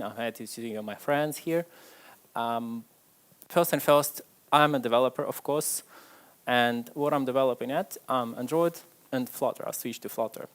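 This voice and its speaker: A man from Ukraine, giving a chatty, self-deprecating tech conference talk on stage to a live audience. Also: mid-range voice. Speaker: conference speaker.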